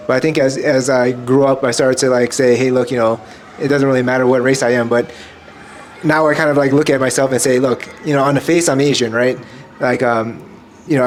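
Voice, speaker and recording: Fast-talking reflective man. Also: mid-range voice, slight background noise. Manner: rambling, many "you know" fillers. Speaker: podcast guest. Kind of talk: casual reflective conversation.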